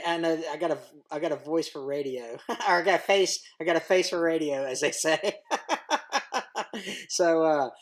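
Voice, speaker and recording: A plain-spoken man talking casually as a podcast guest, laughing easily and joking about himself. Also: a little high. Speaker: guest, YouTuber. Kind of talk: casual conversation.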